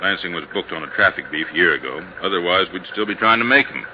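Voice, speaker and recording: Plain-spoken old-radio police sergeant, american, real low, dull slightly noisy recording. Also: male voice, lively intonation, fast. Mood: matter-of-fact. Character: male police sergeant, plain-spoken, easygoing, matter-of-fact. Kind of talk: 1950s radio drama acting.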